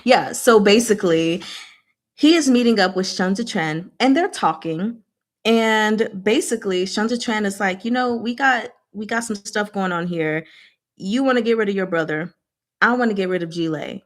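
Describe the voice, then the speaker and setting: A woman's voice: medium pitch, animated, moderate pace. A woman hosting a casual book discussion, recapping chapters in a chatty, playful, slangy way and voicing characters' lines in everyday speech.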